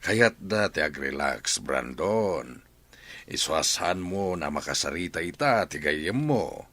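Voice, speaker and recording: A man playing a deep-voiced evasive father. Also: animated, slow, fairly bright tone, no echo, heavily acted. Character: well-off older father, evasive and blustering. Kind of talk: radio drama acting.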